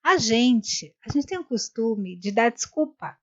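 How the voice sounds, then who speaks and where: Lady rambling through a story on a live chat, kinda high voice, muffled lo-fi sound. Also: expressive, unhurried. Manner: rambling storytelling with many restarts and "né". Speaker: co-host of a live talk. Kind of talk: casual chat.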